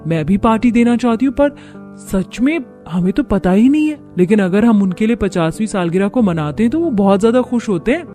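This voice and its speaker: A woman voicing an eager, competitive young daughter-in-law in animated story dialogue. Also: medium pitch, brisk, soft background music, voice pushed up, natural voice. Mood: earnest.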